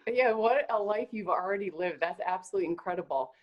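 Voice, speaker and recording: A woman's voice: mid-range voice, fast, highs cut off. A woman interviewing a college athlete, enthusiastic and admiring in her questions. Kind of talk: sports interview.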